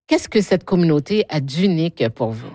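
A woman's voice: deep voice, animated, moderate pace, mellow, no room sound, studio quality. A woman hosting a radio interview, introducing her guest and asking leading questions. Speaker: radio host.

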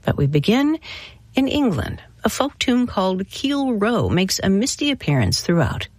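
A woman hosting a classical music radio show, reading scripted introductions in a measured storytelling manner. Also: mid-range voice, very animated, a little mellow, no room sound.

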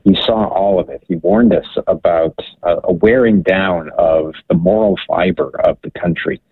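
A man of about sixty calls into a radio show over a tinny phone line. His voice is very deep and gravelly. He speaks in an earnest, measured way. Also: animated. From Hawaii.

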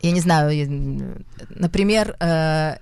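A young woman with a Russian accent speaks in a lowish voice, pausing often and reaching for the right word. Her voice sits dry and close, with no room sound behind it. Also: a little mellow, clear, resonant tone, dry recording. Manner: hesitant, searching for words, frequent fillers. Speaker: actress, interview guest. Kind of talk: conversational interview.

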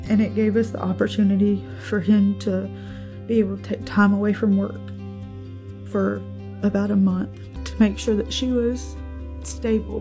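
Sincere young mother, soft guitar behind. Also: mid-range voice, vocal fry. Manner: sincere, heartfelt. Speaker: parent giving a testimonial. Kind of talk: recorded testimonial.

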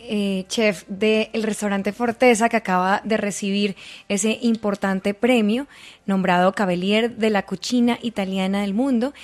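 Woman, Colombian accent, medium pitch, effusive, complimentary, casual broadcast host. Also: a little mellow. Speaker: interview show host.

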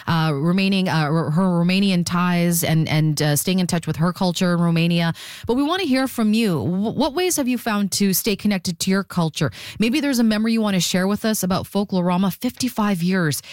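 A woman's voice: on the low side, a little mellow, dry recording, clean studio sound. A woman presenting live as a radio call-in host, addressing listeners and interviewing guests. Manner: reads phone numbers slowly, digit by digit.

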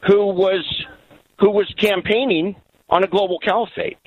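A man's voice: sits high, fairly even intonation, unhurried, no echo, highs cut off. A man calling a political radio show by phone, making his case earnestly and insistently over a band-limited line. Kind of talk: political opinion on call-in radio. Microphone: telephone.

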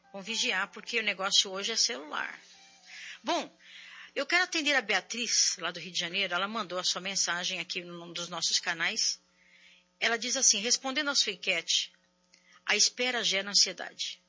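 Chatty woman radio host, bright voice. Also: mid-range voice, slightly rough, highs cut off. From São Paulo, Brazil. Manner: chatty, warm preaching tone with frequent "né?". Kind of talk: religious call-in radio talk.

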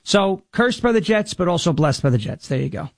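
Raspy high-voiced American male talk host. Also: expressive, brisk, no echo, clean studio sound. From New York, United States. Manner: emphatic, jokey, slips into impression bits. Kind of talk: political talk show monologue with comic bits.

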